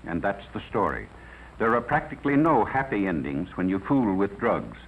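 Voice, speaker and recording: A man's voice: fairly low pitch, sing-song, moderate pace, slight background noise. An American man playing a grave, moralizing judge, narrating a 1950s propaganda film in an emphatic, preachy way with rhetorical questions. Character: grave, moralizing judge warning about narcotics. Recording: tinny, muffled 1950s film soundtrack.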